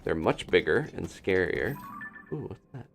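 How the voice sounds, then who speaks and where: Deep-voiced man casually commentating a game. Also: big pitch swings, measured pace, gravelly, music in the background. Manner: thinking aloud, playful reactions to the game. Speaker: gaming live streamer. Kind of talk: casual live gameplay commentary.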